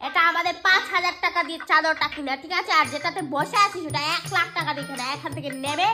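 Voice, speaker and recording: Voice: very high-pitched, fairly even intonation, quick pace, roomy sound. A performer voicing a bossy, scornful young girl in a children's cartoon, scolding and threatening in a haughty way over music. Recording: music behind the voice.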